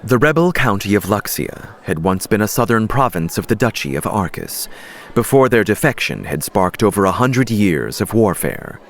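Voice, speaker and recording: A man's voice: on the low side, very animated, moderate pace. A man narrating an audiobook story in a dramatic, scripted reading style.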